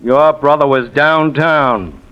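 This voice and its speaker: An actor playing a stern, skeptical homicide lieutenant in a 1950s radio drama, blunt and sardonic. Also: male voice, a little high, slow, background noise. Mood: stern, certain. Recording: muffled vintage radio recording.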